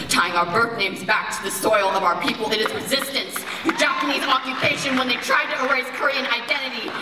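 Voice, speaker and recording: A teenage girl from the United States performing spoken word poetry on stage, with an impassioned, rhythmic delivery and pointed pauses. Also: fairly high pitch. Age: teens. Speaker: slam poet. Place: large performance hall, on stage. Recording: echoey hall from far off, crowd noise. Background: live audience.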